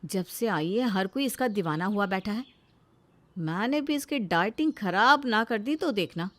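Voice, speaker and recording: A woman's voice: medium pitch, lively intonation, measured pace, fairly bright tone. A woman voicing a jealous, touchy elder daughter-in-law in a family story, with a sarcastic, defensive manner. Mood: jealous, scheming.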